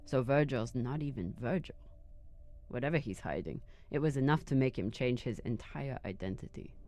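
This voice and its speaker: A woman's voice: extremely low pitch, expressive, moderate pace, warm tone. An actress playing a guarded, blunt woman captain in a dramatic sci-fi video game. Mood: suspicious. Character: guarded, stern, blunt female space captain.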